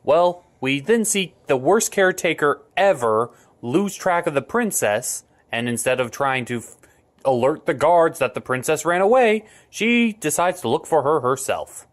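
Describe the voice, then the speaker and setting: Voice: medium pitch, lively intonation, a little slow, lightly acted. Performer doing a swooping, sarcastic puppet critic. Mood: sardonic. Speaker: puppet show reviewer. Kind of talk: comic movie recap.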